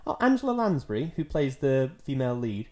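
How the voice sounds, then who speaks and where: A man's voice: medium pitch, sing-song, a little fast, warm tone. A man co-hosting a podcast in casual chat, with a hesitant, understated way of reacting. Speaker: podcast co-host.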